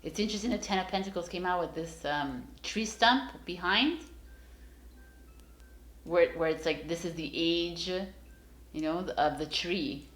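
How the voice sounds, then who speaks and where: A woman's voice: on the low side, a little slow, fairly bright tone, roomy sound, soft background music. A woman giving a casual, encouraging tarot reading straight to her viewers, rambling with many fillers and restarts. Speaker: tarot reader.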